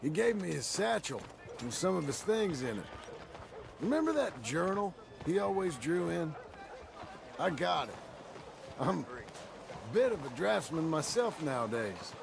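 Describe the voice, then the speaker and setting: A man playing a rough, plain-spoken Old West outlaw with an American accent and folksy, terse phrasing in a game. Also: fairly high pitch, sing-song, unhurried, pauses often, noisy background, full character voice. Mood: sombre, reflective. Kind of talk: naturalistic game cutscene dialogue.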